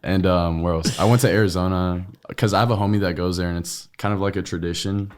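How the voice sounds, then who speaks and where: A young man, born in Brazil, speaking with an American accent. His voice sits deep, and he talks in a relaxed, slangy ramble with plenty of fillers. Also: quick pace. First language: Portuguese. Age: young adult. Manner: laid-back slangy talk with many fillers. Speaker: podcast guest. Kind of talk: casual chat.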